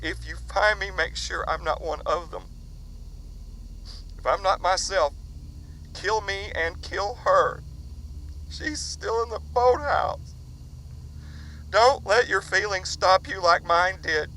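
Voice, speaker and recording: An actor playing a frightened father who leaves a halting, confessional farewell recording for his son. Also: sits high, a little hiss or hum, heavily acted, poor audio. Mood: anxious, somber. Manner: halting, confessional, hushed at times. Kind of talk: audio drama.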